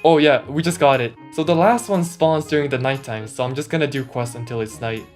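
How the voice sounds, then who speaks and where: A man's voice: mid-range voice, fairly quick. Voice-changed man doing casual gaming commentary. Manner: slangy, mildly complaining. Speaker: gaming YouTuber. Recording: music mixed under the voice. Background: background music.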